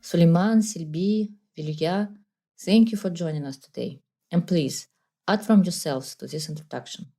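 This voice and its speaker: A woman hosting a podcast, introducing guests and asking measured, formal questions. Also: on the low side, vocal fry, dark tone, soft background music. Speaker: podcast host. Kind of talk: podcast interviewing.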